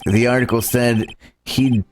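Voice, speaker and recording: A man voicing an earnest, loyal young male defense attorney from a video game, reading a little haltingly. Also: mid-range voice, fairly even intonation, darkish tone, lightly acted. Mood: somber. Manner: halting, stumbling over lines. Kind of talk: reading visual novel game dialogue aloud. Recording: music playing behind the voice.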